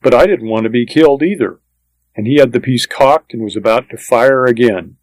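Man, mid-pitched voice, western audiobook narration, first person, plain delivery, dry close sound. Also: a little fast, no room sound, low-quality recording.

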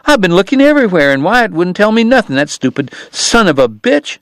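A man reading aloud and voicing a tearful, hot-tempered frontier woman in a shrill, pleading tone. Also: big pitch swings, brisk, a bit bright, dry recording, exaggerated voice. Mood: shaken.

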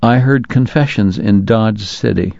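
A man voicing a courteous, subdued Jesuit priest in an audiobook, speaking quietly and formally. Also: lowish voice, a little slow, vocal fry, dry recording, muffled recording. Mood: polite, restrained.

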